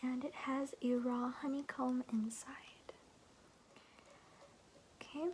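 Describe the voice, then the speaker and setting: A woman voicing a chatty, doting grandmother in a soothing, breathy ASMR roleplay whisper, rambling in a folksy way. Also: high-pitched, steady tone, normal speed, a little mellow, slight background noise, natural voice, low-quality recording. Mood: soothing, caring.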